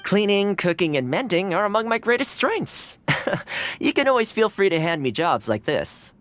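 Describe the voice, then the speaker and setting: A man's voice: sits high, sing-song, moderate pace, a bit bright, no room sound. A man playing a friendly, easygoing young male housekeeper in a video game, casual, courteous and modest in manner. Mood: cheerful, reassuring. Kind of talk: English video game voice acting.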